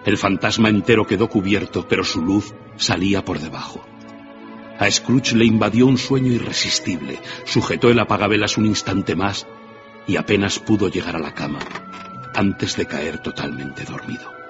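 A man's voice: lowish voice, moderate pace, highs cut off. A man narrating a classic tale as a dramatic scripted radio story. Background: music.

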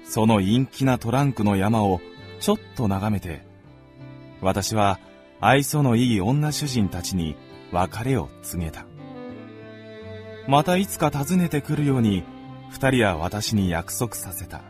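Man acting an expressive gentlemanly storyteller. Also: fairly low pitch, measured pace, faint music behind. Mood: reflective. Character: gentlemanly male visitor narrating his recollections. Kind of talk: scripted literary narration in radio drama.

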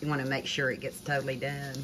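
Low-voiced older woman behind the camera. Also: flat delivery, fast. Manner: gentle, coaxing, asks tag questions. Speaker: vlogger filming a grandchild cooking. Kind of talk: casual chat behind the camera. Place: home kitchen, indoors. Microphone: phone camera held by the speaker. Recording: kitchen clatter of pots and dishes.

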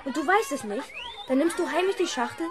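A cocky, cunning young schoolboy in an old children's radio play. He persuades emphatically in a very high, animated voice, with a crowd of children behind. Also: fairly quick. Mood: persuasive, secretive.

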